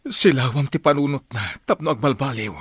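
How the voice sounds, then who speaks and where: A man's voice: medium pitch, animated, brisk, husky, dry recording, studio quality. A man with an Ilocano accent playing a devout villager praying earnestly in a radio drama. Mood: pleading, prayerful. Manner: prayerful, pleading.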